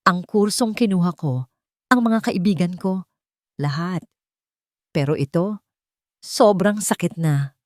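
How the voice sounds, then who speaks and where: A woman voicing a wealthy young fiancée, gentle and sad, speaking softly and calmly in an audio love story. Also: on the low side, slow, pauses often, no room sound, clean studio sound. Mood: tearful confession. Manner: soft, calm, sorrowful.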